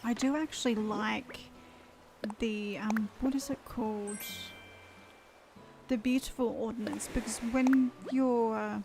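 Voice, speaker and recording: A woman's voice: on the high side, steady tone, a little slow, creaky, darkish tone, slight background noise, rough recording. A woman chatting casually on a game live stream, losing her voice and rambling as she thinks aloud.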